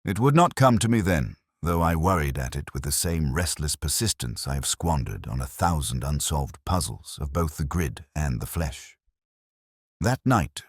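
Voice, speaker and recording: Very deep, brooding detective narrator played by a man. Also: husky, no room sound, clean studio sound, heavily acted. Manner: measured, brooding, wistful. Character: weary, erudite, melancholy male police detective. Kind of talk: first-person literary scripted narration.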